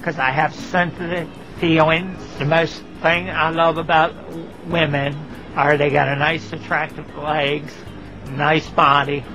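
A man speaking in a video personal ad, halting and hesitant, with long pauses between words. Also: fairly high pitch, flat delivery, over music. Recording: dull lo-fi archive video clip.

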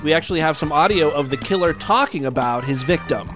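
A man's voice: a little high, lively intonation, fast, over music. A man hosting a comedy podcast, reading odd news stories and voicemails in a crude, mocking way and laughing at his own jokes. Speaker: podcast host.

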